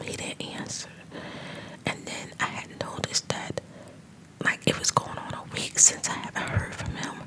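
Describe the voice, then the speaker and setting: A woman, getting over a cold, telling a rambling story in a fully unvoiced ASMR whisper. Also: poor audio. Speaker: ASMR channel host.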